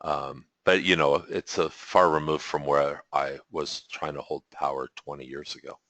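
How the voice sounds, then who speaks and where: A deep-voiced man leads a spiritual teaching session over a video call, in a loose, meandering way, restarting his sentences and chuckling as he goes. His low voice has a bright, forceful edge. The sound is dry and close, with no room echo. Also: flat delivery, moderate pace. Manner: rambling, self-correcting, chuckles often. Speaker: spiritual teacher leading a webinar. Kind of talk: casual teaching talk.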